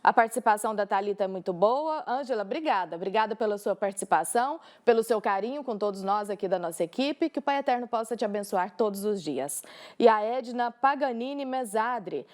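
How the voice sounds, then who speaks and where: A woman's voice: medium pitch, animated, brisk. Woman reading viewer messages on air. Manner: friendly and clear, reads messages aloud.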